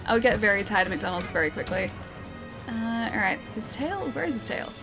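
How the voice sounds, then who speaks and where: An Australian woman streaming a video game, chatting casually and reading viewer comments, with a slightly croaky voice. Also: medium pitch, lively intonation, brisk. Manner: chatty, rambling, frequent ums and laughs. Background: loud game music.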